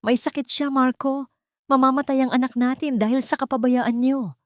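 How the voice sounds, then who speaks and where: A woman's voice: high voice, fairly even intonation, normal speed, no room sound, clean studio sound, pitched above the performer's own voice, natural voice. A woman voicing a desperate, defiant mother with an urgent, emotional manner in an audiobook drama. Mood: desperate, angry. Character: desperate, defiant mother pleading for her child.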